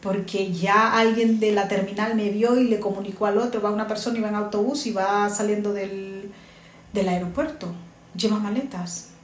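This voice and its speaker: A woman's voice: mid-range voice, steady tone, normal speed, a little hiss or hum. Earnest woman in an echoey room. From Honduras. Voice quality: earnest, repeats herself for emphasis. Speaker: interviewee, migrant mother. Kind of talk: earnest personal testimony. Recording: echoey room with faint ambience.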